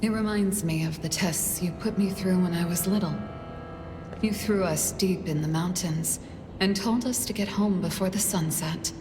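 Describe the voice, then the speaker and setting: A woman voicing a guarded, cool-headed game character in a measured, curt manner. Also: on the low side, close to an everyday voice. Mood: somber, reflective. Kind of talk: scripted game dialogue. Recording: echoey, with music behind.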